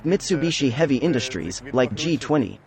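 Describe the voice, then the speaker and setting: A man's voice: mid-range voice, expressive, fairly quick, creaky, a crowd in the background. A man, a car owner, answering the host's questions in brief, matter-of-fact replies outdoors. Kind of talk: interview answers.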